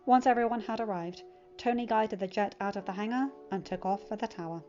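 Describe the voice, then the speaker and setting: A woman's voice: mid-range voice, fairly quick, a little mellow. Woman reading a story, dull lo-fi sound. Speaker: fan fiction reader. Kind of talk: scripted story narration, audiobook reading. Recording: dull lo-fi recording, music underneath. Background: background music.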